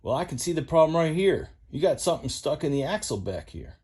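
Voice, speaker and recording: A man's voice: fairly high pitch, big pitch swings, fairly quick, a little mellow, husky, voice pushed up. A man voicing a folksy old male tow truck with a twangy country drawl.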